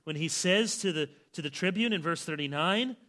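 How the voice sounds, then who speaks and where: Middle-aged male pastor, bright and forceful, emphatic sermon delivery with sharp shifts in volume. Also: a little high, lively intonation, moderate pace. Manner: emphatic, with big swings in loudness. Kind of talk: expository sermon.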